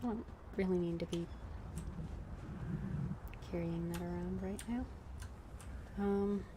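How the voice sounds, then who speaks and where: Slow, hesitant woman game streamer. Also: lowish voice, steady tone, background noise. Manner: hesitant, thinking aloud, trailing off. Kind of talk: casual game commentary, reading dialogue choices aloud.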